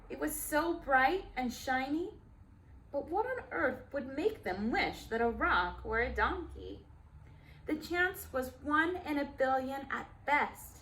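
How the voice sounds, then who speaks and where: A woman reads a story aloud for young children. Her voice is fairly high and she goes slowly, with deliberate emphasis and simple asides to the listeners. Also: a bit bright, poor audio.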